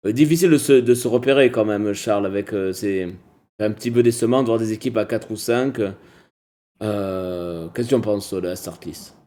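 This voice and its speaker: A man's voice: fairly low pitch, normal speed, warm tone. A man co-hosting a cycling podcast, talking casually with many hesitations and restarts.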